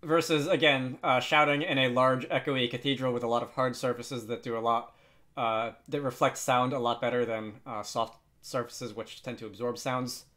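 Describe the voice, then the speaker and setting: A man hosting a casual software tutorial, thinking aloud hesitantly and adding wry, self-deprecating asides. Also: medium pitch, steady tone, moderate pace. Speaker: tutorial host.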